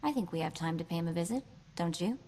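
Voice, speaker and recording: A woman's voice: on the low side, expressive, fast, warm tone, slight background noise, natural voice. A woman voicing a plucky, street-smart young slicer in a video game, wry and breezy, with clipped slangy talk.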